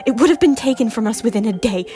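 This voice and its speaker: A woman's voice: on the high side, lively intonation, fast, lightly acted. A woman playing a guilt-ridden former queen. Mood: defiant. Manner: hushed, often whispering. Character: guilt-ridden former Scottish queen, defiant yet remorseful. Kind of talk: amateur audio drama acting. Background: faint music.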